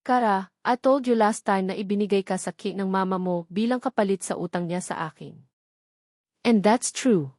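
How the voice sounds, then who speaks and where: A woman narrator plays a possessive but gentle billionaire man in a romance audiobook. He is soft-spoken, serious and regretful, with a Filipino accent, mixing two languages. The sound is dry and close. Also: medium pitch, normal speed, no echo, lightly acted. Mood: earnest. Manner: soft-spoken, earnest, code-switching between two languages.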